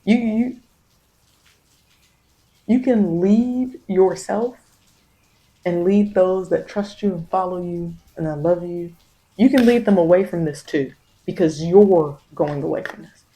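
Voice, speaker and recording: A woman's voice: fairly low pitch, takes it slowly, long pauses, warm tone, low-quality recording. A woman tarot reader giving spiritual guidance in an informal, ASMR-leaning monologue, speaking straight to the listener with emphatic, repeated phrasing. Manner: repetitive, emphatic phrasing addressed directly to the listener; informal vernacular speech.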